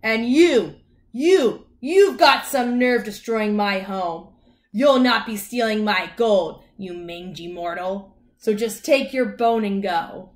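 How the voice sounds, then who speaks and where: A woman's voice: lowish voice, level tone, a little slow, few pauses, natural voice. A woman voicing a small, proud, grumpy male leprechaun in a children's story, blustering and indignant.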